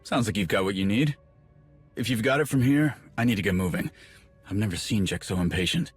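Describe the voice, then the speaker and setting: An actor playing a wry, casual comrade in a video game, brisk and informal. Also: male voice, fairly low pitch, expressive, husky, soft background music.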